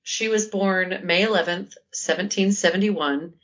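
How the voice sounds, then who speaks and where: A woman's voice: measured pace, fairly bright tone, lo-fi sound. A woman co-hosting a casual podcast, joking and chatty with a commanding voice, telling a history story. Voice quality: commanding, low-sounding voice. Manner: joking, chatty, says "like" often. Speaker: podcast co-host.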